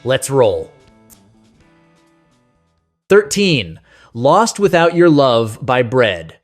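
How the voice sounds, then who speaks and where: A man's voice: mid-range voice, sing-song, extremely slow. A man narrating a scripted nostalgic music countdown as host, speaking to the listener in a conversational, sentimental way.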